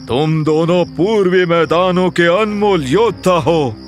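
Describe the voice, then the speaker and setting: Slow, high-pitched fawning schemer. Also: male voice, faint music behind. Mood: earnest, persuasive. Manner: drawn-out, pleading, flattering. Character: fawning, scheming magical male guardian deceiving royal children. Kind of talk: Hindi animated fairy-tale acting.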